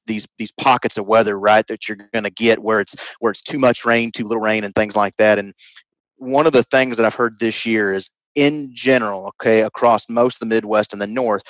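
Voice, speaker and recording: A man's voice: lowish voice, fairly even intonation, normal speed, dry recording. A man in his thirties answering interview questions over a headset video call, hesitant with many restarts. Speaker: guest expert. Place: home room, indoors. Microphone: headset mic, video call. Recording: dry, slightly dull video-call audio.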